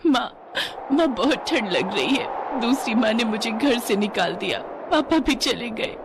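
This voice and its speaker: Woman doing a high, plaintive little village girl. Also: expressive, measured pace, vocal fry, noisy background. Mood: tearful, pleading. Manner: plaintive, childlike. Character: sweet, mistreated little village girl, tearful.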